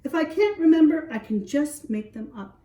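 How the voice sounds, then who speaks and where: A woman's voice: high voice, sing-song, normal speed, dark tone, voice pushed up. A woman voicing a small, stubborn, self-assured little girl in a storybook, speaking emphatically. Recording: roomy echo, distant from mic.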